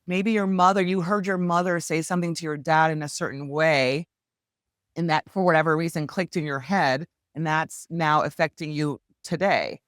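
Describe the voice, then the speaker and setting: A woman speaking as a podcast guest, telling her story in an earnest, heartfelt and animated way. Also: deep voice, normal speed, dry recording, clean studio sound. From United States. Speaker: podcast guest, hypnosis healer. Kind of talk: candid conversational storytelling.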